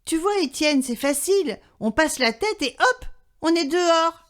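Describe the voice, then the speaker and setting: A woman's voice: lively intonation, a little slow, fairly bright tone. A woman voicing a flustered, exasperated father who is stuck in a cat flap. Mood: coaxing. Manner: high, strained, pleading. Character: flustered middle-aged dad, stuck and exasperated.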